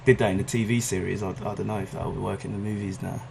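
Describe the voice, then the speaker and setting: A man's voice: deep voice, flat delivery, fast, gravelly, a little mellow, slight background noise. A man co-hosting a podcast, chatting casually in a hesitant, agreeable way. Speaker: podcast co-host. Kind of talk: casual chat about comic book movies.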